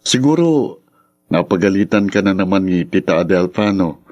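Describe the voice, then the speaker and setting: A man's voice: low voice, expressive, normal speed, a little mellow, muffled recording. A man playing a concerned, easygoing young friend with a Filipino accent in a radio drama. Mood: concerned, coaxing.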